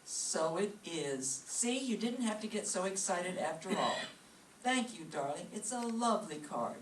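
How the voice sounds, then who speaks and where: A woman's voice: on the low side, animated, measured pace. A patient, reproachful wife played by a woman in an old-time radio comedy. She scolds dryly but fondly, heard at a distance in a roomy space with faint noise behind her. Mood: placating. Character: long-suffering, scolding yet affectionate wife.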